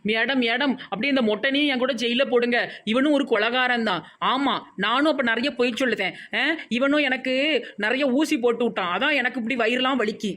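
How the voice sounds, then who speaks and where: A woman's voice: on the high side, fairly quick, fairly bright tone, natural voice. Woman doing a cartoon village wife, young, whiny n scared, scolds and begs in tirunelveli tamil. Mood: indignant. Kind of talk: comic cartoon dialogue.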